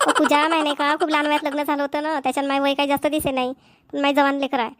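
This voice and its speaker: A woman voicing a busy village mother in an amateur cartoon comedy, speaking homely, repetitive Vidarbha Marathi in a hurried manner. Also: high voice, monotone, fairly bright tone. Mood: brisk, insistent. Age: middle-aged. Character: busy village mother rushing to invite neighbours to engagement.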